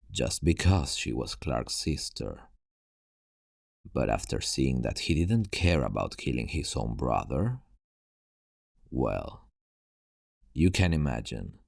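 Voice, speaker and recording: A man playing a weary, haunted survivor of a zombie apocalypse in an ASMR roleplay, telling his story in a hushed, grave, halting way. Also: a bass voice, vocal fry, no room sound, clean studio sound, exaggerated voice.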